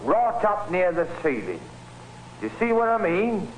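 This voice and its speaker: A man's voice: high voice, big pitch swings, measured pace. An actor playing a scheming working-class London Cockney handyman and murderer in an old-time radio drama, muttering and slyly deferential. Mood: sly, placating. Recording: noisy vintage radio recording.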